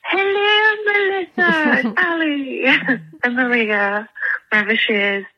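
An English woman with a croaky voice leaving a heartfelt, rambling voicemail of thanks over a phone line. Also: high voice, slow. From England. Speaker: voicemail caller.

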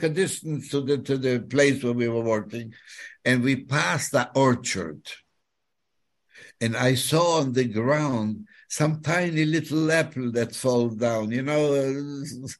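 Elderly Polish-accented man, halting delivery. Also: medium pitch. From Poland. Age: 90s. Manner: halting storyteller, often repeats words and phrases. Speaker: guest, Holocaust survivor telling his story. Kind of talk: personal testimony in an interview.

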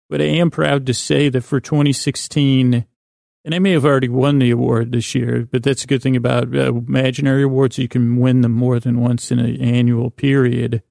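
A man hosting a bedtime podcast in a creaky, gravelly voice, rambling in a lulling, halting way with frequent stammers and self-interruptions. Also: mid-range voice, mellow, no echo, studio quality. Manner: lulling, soothing. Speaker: podcast host. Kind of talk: rambling bedtime monologue to put listeners to sleep.

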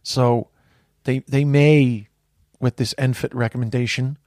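A man's voice: medium pitch, steady tone, a little slow, a little mellow, no room sound, clean studio sound. A man in his forties with an American accent hosting a podcast in casual chat, rambling with frequent restarts. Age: 40s. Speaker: podcast host.

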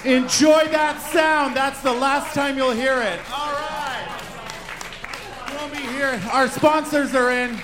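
A man's voice: extremely high pitch, slow, pauses often, crowd noise. A man co-hosting a live comedy show, bantering with the audience in a mock-earnest, repetitive way. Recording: noisy live venue with audience.

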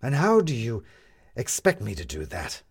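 Male reader as scheming con man, storybook, wry, measured, husky, expressive intonation. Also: medium pitch, exaggerated voice. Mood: defensive. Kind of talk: storybook character dialogue.

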